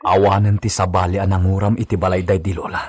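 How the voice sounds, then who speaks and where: An actor playing an agitated village man with a husky voice and an Ilocano accent in a comedy radio drama. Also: deep voice, level tone, fast, darkish tone. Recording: music under the voice.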